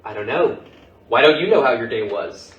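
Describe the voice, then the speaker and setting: A man's voice: medium pitch, expressive, moderate pace, muffled recording, close to an everyday voice. A man acting a patient but exasperated middle-aged father with dry humor in a staged play reading. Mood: patient, puzzled. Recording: roomy, distant mic, faint noise.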